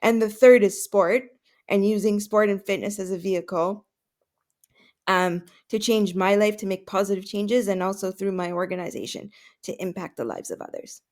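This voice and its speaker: A woman's voice: mid-range voice, moderate pace, a little mellow. A woman whose first language is Russian, answering podcast interview questions earnestly, with many fillers and restarts. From Canada. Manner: earnest, with frequent fillers and self-corrections.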